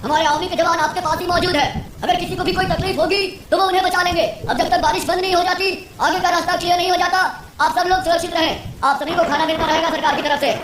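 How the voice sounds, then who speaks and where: A woman's voice: very high voice, fairly even intonation, fast. A woman voicing an official public announcer in a story cartoon, formal, urgent and reassuring. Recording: echoey, noisy announcement.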